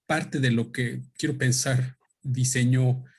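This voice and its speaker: A man's voice: mid-range voice, steady tone, slow, breathy edge. Hesitant man presenting a book. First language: Spanish. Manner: thoughtful, hesitant, with many fillers and restarts. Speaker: author presenting his book. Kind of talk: unscripted talk at a book presentation. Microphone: microphone.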